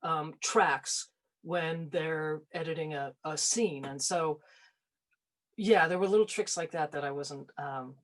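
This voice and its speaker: An actor reflecting casually in an interview, with halting, thoughtful phrasing. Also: medium pitch.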